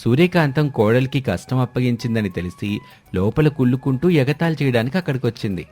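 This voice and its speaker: A man's voice: medium pitch, expressive, fast, a little mellow. A man narrating a scripted moral story as its storyteller. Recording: music behind the voice. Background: music.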